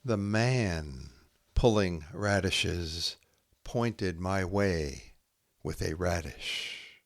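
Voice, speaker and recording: Male poetry host, unhurried and deliberate, lowish voice, long pauses between short phrases. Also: dry recording. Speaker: podcast host reading poetry. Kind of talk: measured poetry reading and scripted narration.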